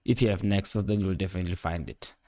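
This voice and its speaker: A young man giving a casual, unscripted music production tutorial, rambling playfully in slangy speech with excited interjections. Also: low-pitched, fast talker, no echo, clean studio sound. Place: indoor home studio at a computer. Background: loud instrumental beat and instrument sounds playing.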